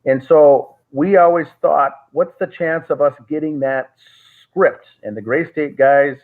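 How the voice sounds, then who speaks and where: A man's voice: fairly high pitch, unhurried. A man talking as an interview guest on a call, telling a long story with many "uh" fillers and restarts. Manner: rambling, frequent "uh" fillers and restarts. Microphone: call audio. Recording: tinny phone-like call audio.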